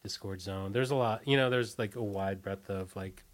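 A man reminiscing casually as an interview guest, telling stories loosely and often trailing off. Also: on the low side. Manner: halting, trailing off, loose storytelling.

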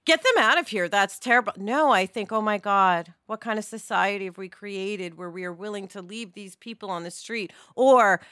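A woman's voice: medium pitch, moderate pace, hardly any pauses, fairly bright tone, clear, resonant tone, dry recording, studio quality. A woman co-hosting a podcast in casual, reflective discussion, talking candidly with digressions and questions to herself. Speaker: podcast co-host.

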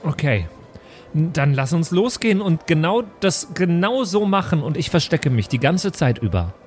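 A man's voice: fairly high pitch, sing-song, normal speed, faint music behind. A man speaking as a player in a tabletop role-playing game, with faint noise behind him. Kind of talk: in-character tabletop role-play.

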